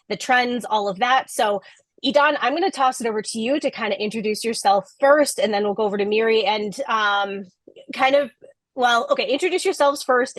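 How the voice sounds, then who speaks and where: Chatty woman podcast host, excited, dry close sound. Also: medium pitch, no room sound. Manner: enthusiastic, chatty. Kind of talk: hosting an interview.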